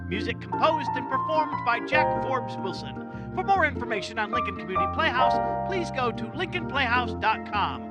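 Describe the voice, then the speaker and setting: Woman, closing announcer, scripted radio credits, very high pitch, lively melody, loud music underneath. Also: moderate pace.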